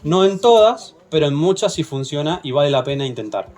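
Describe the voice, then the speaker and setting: A man's voice: fairly high pitch, expressive, brisk, a little mellow. Argentine man teaching a plant workshop. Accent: Argentine Spanish. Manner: patient, checks in with "¿sí?". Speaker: workshop instructor. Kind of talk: hands-on demonstration workshop.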